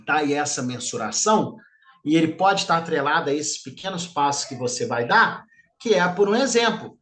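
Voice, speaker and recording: A middle-aged male instructor leads a live online class in a loose, chatty way. He keeps checking in with his students as he goes. His voice sits a bit high for a man. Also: expressive, normal speed, fairly bright tone. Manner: chatty, informal, peppers speech with "tá?".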